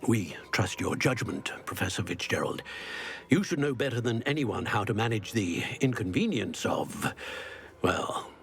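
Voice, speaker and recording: Gravelly, low-voiced grave old wizard professor. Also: male voice, very animated, fairly bright tone, heavily acted. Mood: measured, advising. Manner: formal, deliberate. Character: grave, elderly male wizard professor, measured and concerned. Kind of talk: video game drama acting. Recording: music behind the voice.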